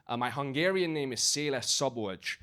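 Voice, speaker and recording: Lively Glaswegian-accented comedian. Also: male voice, mid-range voice, normal speed, smooth. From Romania. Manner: punchy, swings between voices. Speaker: stand-up comedian. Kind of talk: stand-up set. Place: basement comedy club.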